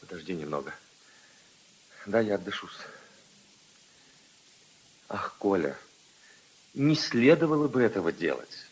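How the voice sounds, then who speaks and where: An actor playing a mild, hesitant, gentlemanly prince in measured 1950s radio drama style. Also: male voice, deep voice, big pitch swings, rough recording. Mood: weary, reluctant.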